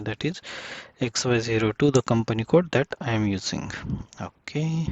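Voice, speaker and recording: A man giving a casual step-by-step software tutorial, speaking in short, halting phrases as he works through the screen. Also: mid-range voice, no room sound, highs cut off.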